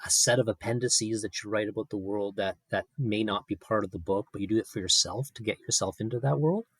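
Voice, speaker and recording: Husky low-voiced man asking questions. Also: brisk, no echo. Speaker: podcast interviewer. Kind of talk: casual conversational questioning.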